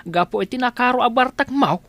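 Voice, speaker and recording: A woman's voice: a little high, brisk, a bit bright, dry recording. A woman playing an elderly village father in an Ilocano comedy radio drama, concerned and scolding, with an Ilocano accent and an exclaiming manner.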